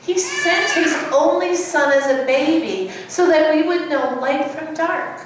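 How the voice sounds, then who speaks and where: A woman voicing a gentle, patient mother bear who explains things reassuringly in a children's storybook reading. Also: high voice, expressive, unhurried, voice pushed up. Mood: reverent. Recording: echoey room, distant mic, background noise.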